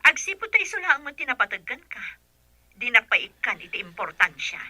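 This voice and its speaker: A woman's voice: on the high side, very animated, brisk, bright, forceful tone, no room sound, heavily acted. An actress playing a bitter, accusing rich man's wife in a radio drama.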